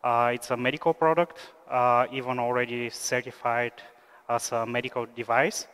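A man in his thirties from Bulgaria, giving a technical conference talk with many "uh" fillers in a steady explanatory pace. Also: medium pitch. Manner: frequent "uh" fillers, measured explanatory delivery. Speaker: conference speaker, software engineer. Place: large conference hall, at a lectern stage. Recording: smooth voice with long hall reverb.

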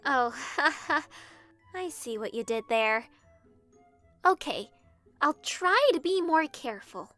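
Casting: tiny cartoon girl kitten, very high, bright, childlike, naive and eager. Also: slow pace, long pauses, faint music behind, exaggerated voice. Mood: hesitant, sheepish. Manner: childlike, earnest, excitable, wide-eyed. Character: naive, eager, cheerful little female kitten. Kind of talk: animated comic dub acting.

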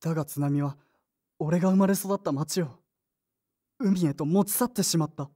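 A woman's voice: deep voice, moderate pace, warm tone. A woman playing a brusque, moody teenage boy in an audio drama, with blunt, casual boyish speech. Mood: somber. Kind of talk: Japanese audio drama acting with inner narration.

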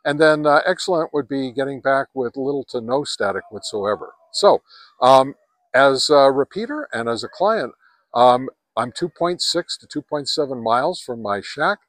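A man's voice: medium pitch, expressive, moderate pace, a bit bright, dry recording, low-quality recording. A man in his sixties rambling casually through a hands-on ham radio review, heavy on fillers, recorded on a camera held at arm's length. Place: home radio shack, then outdoors in a park, then in a truck. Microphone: handheld camera at arm's length.

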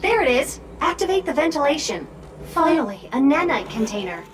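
Wry high-voiced robot drone sidekick. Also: female voice, very animated, fairly quick, slight background noise. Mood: urgent. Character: brisk, sardonic small robot drone companion, female. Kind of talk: video game character dialogue. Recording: game music behind the voice.

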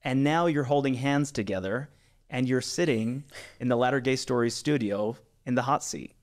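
Upbeat podcast host, studio mic. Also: male voice, mid-range voice, normal speed. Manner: upbeat, with frequent "uh" fillers and restarts. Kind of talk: casual podcast interview. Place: small studio with brick wall, indoors. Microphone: studio mic on boom arm.

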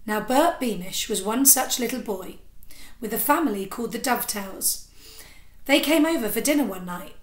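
A woman in her thirties reading a children's story aloud with expressive narration, like a teacher, into a device at arm's length indoors. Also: a little high. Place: small indoor room with sofa. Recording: echoey room.

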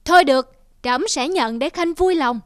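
A woman voicing a proud, hot-tempered old male emperor in a cartoon, commanding and quick to anger. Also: sits high, expressive, dry recording, studio quality. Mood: gracious. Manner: commanding, flares into angry shouting. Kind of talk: cartoon voice acting.

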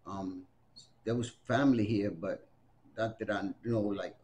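A man in his early sixties with a low voice, speaking at table distance in a library room with a faint hiss behind him. He talks in a loose, rambling way, full of "um" and "you know", and often stops to restart a sentence. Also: steady tone, unhurried, darkish tone, highs cut off. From Puerto Rico, raised in the Bronx. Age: around 60. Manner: rambling, many fillers and restarts. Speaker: interviewee. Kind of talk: oral history interview.

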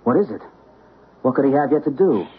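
A man's voice: medium pitch, big pitch swings, brisk, husky. A man acting an earnest, awed witness to a haunting in a 1970s radio drama. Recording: noisy, phone-like vintage radio recording.

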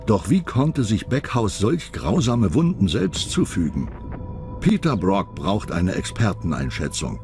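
Low-voiced man narrating true crime, lively delivery. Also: measured pace. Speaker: documentary narrator. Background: background music.

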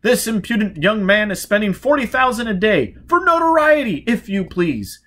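Casting: pompous society gentleman acted by a man narrator, blustering, high and animated, storybook reading. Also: normal speed, full character voice. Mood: amused bluster. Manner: pompous, exclaiming. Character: blustering, pompous male aristocrat, astonished and amused.

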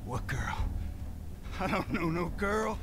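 A man's voice: high-pitched, big pitch swings, takes it slowly, noisy background, full character voice. An American man playing a desperate, defiant bandit held captive in a video game, strained and pleading. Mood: desperate defiance.

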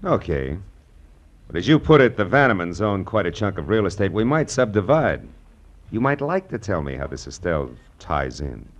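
An actor playing a terse, sardonic American private detective in an old radio crime drama. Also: male voice, sits low, big pitch swings, moderate pace, muffled recording. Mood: dry, probing. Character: hard-boiled, wisecracking private detective.

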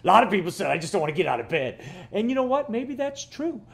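A man's voice: high-pitched, big pitch swings, fast, creaky, a bit bright. A man in his fifties, a fishing guide hosting his vlog from his living room, talking casually and rambling, often repeating words. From Florida. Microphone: camera close up, seated.

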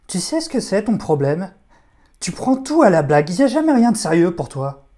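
A man's voice: high-pitched, big pitch swings, brisk, dark tone. A man playing a serious, exasperated brother in an audio drama, stern and reproachful about his equipment. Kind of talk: audio fiction acting.

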